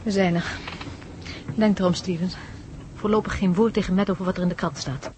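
A woman acting in a radio drama as a brisk, down-to-earth wife, in conversational dialogue. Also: lowish voice, steady tone, very noisy, close to an everyday voice. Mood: brisk, irritated.

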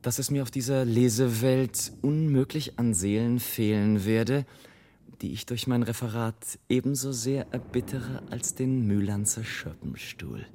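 An actor playing a sardonic, misanthropic gentleman who narrates a florid, brooding report in a radio drama. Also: mid-range voice, measured pace, a little hiss or hum, natural voice. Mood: wry.

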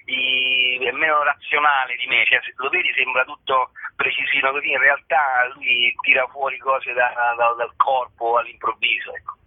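A man's voice: medium pitch, level tone, moderate pace, few pauses, dry recording. A man, a guest performer, chatting casually over a narrow telephone line. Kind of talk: casual chat. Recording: dry narrow phone line.

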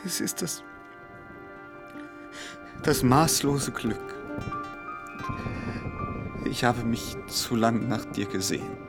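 An actor in a radio play playing a lovesick, tormented young gentleman who is crying. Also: medium pitch, slow, pauses often, music in the background. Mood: tearful, halting.

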